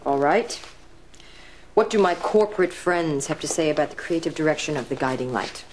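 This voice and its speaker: A woman's voice: low voice, expressive, normal speed, noisy background. An actress playing a strong-willed, principled woman who writes a 1930s radio serial, speaking firmly and dryly in a period drama. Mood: dry, defiant. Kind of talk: period television drama acting.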